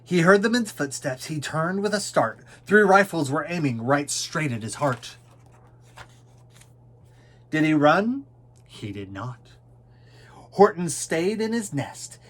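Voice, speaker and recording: Male reader, children's rhyming story, theatrical, unhurried, wide expressive pitch swings. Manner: theatrical, dwelling on repeated words.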